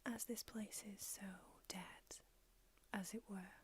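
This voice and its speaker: A woman whispering in an ASMR roleplay as an ancient, aloof female vampire doctor, unhurried and drily haughty. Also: mid-range voice, fairly even intonation, natural voice. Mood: languid haughty. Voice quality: whispered throughout. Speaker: ASMR creator.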